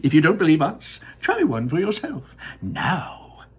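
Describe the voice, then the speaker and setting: A man voicing an eccentric, excitable male chocolate maker, pitched high with a husky edge and sudden shouts. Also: measured pace, a little hiss or hum. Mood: boastful. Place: room in his home without air conditioning.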